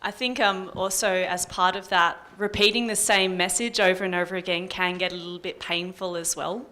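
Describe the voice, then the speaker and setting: A woman's voice: on the low side, fairly even intonation, moderate pace, sharp tone. A woman, a radio presenter giving casual advice on a workshop panel. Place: live panel workshop indoors.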